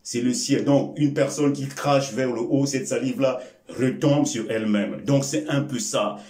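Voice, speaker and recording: A man in his forties, a native Bembe speaker, talks to the camera slowly and with emphasis, stretching out key phrases and stopping on hesitant filler sounds. His pitch sits at a middle level. The sound has a noticeable room echo. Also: a little mellow. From Congo. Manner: slow and emphatic with many filler sounds and repetitions; gestures with both hands while speaking. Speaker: life-lesson video host. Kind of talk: motivational talk to camera. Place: small indoor room before a curtain. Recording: echoey room.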